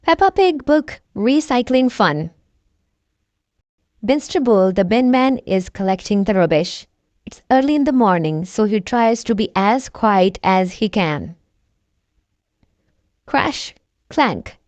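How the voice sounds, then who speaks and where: A woman reading a bedtime story aloud for young children in a simple, deliberate storytelling manner. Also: medium pitch, lively intonation, a little mellow, no room sound, dull audio.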